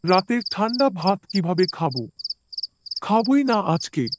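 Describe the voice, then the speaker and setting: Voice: medium pitch, expressive, normal speed, very noisy, close to an everyday voice. A performer voicing an obedient but careless young schoolboy son, simple and earnest, in an Islamic moral cartoon. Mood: worried, reluctant.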